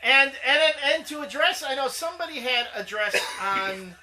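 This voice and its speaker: A man's voice: extremely high pitch, normal speed, over music, low-quality recording. A man co-hosting a casual comic book talk show, rambling and restarting as he defends his opinion. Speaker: co-host.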